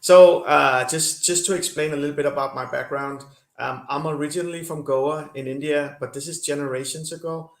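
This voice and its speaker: A man's voice: fairly high pitch, fairly even intonation, brisk, gravelly, hardly any pauses, roomy sound. A man from Kenya with an accent shaped by Denmark, speaking earnestly as an interview guest with many fillers and restarts. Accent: Danish-influenced. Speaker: guest, company CEO. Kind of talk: interview over video call.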